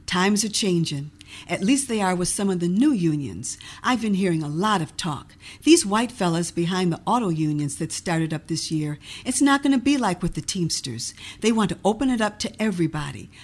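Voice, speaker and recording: A woman's voice: lowish voice, lively intonation, normal speed, husky. A woman voicing an earnest, hopeful working man in a storybook.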